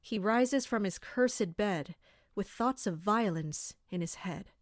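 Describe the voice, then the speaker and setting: Serious measured guard captain played by a woman, fantasy audio drama, really dry recording. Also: mid-range voice, no echo, lightly acted. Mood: reciting darkly. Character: dutiful female captain of an elite armored guard. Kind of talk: audio drama acting with first-person narration.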